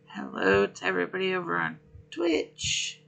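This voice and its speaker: A man hosting a relaxed livestream, greeting viewers one by one while his voice is going hoarse and rough. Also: high voice, faint music behind. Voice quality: rough, gravelly. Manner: unhurried, friendly greetings between pauses. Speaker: livestream host. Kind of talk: relaxed gaming stream chat, greeting viewers.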